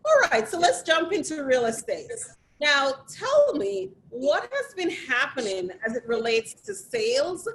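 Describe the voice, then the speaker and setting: A woman hosting an interview over a video call, upbeat and welcoming, thanking her guest warmly. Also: high voice, big pitch swings, unhurried, a little hiss or hum, rough recording. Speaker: host. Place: New York City.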